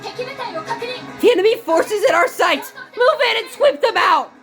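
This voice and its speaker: Ruthless, exultant army commander for a game, female performer, pitched high, commanding, music underneath. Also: animated, a little slow. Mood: gleeful command. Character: gleeful, ruthless military commander. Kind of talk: dramatic game fan dub. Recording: music behind the voice.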